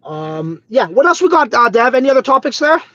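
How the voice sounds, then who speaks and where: A man from Trinidad, hosting a casual crypto livestream on his phone, excitable and swearing freely, peppered with "bro" and "dude". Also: extremely high pitch, fairly quick, dry recording. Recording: slightly dull phone audio.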